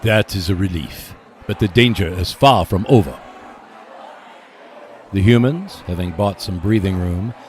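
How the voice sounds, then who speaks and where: A man's voice: deep voice, darkish tone, a little hiss or hum. A man narrating a scripted radio play as host, in a dramatic storytelling manner.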